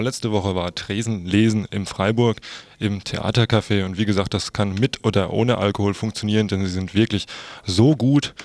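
Male radio presenter, lowish pitch, casual morning-show delivery introducing items. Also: dry recording.

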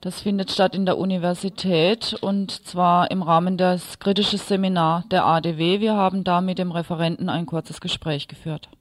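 A woman's voice: fairly low pitch, steady tone, normal speed, few pauses, dry recording. A woman presenting radio news, reading from a script.

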